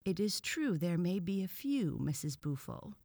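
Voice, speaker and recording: A woman's voice: on the low side, animated, takes it slowly, a little mellow, no echo, pitched above the performer's own voice, full character voice. A woman voicing a pompous, severe male frog professor who lectures with scorn in a children's storybook. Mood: polite, firm.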